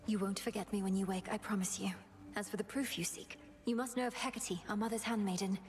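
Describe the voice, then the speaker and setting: A woman's voice: mid-range voice, steady tone, fast, darkish tone, slight background noise, natural voice. A woman voicing an earnest, determined young witch princess in a video game, speaking in a solemn, formal manner. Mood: grave, determined.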